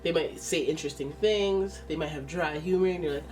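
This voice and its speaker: A woman's voice: low voice, normal speed, a little mellow, far from the mic. A woman with a stuffy nose giving a casual, chatty tarot reading, exclaiming and thinking aloud as she turns the cards. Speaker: tarot reader.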